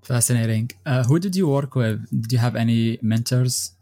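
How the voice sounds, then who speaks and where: A man's voice: medium pitch, normal speed, mellow. A man hosting a podcast, reading a formal introduction and asking interview questions. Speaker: podcast host.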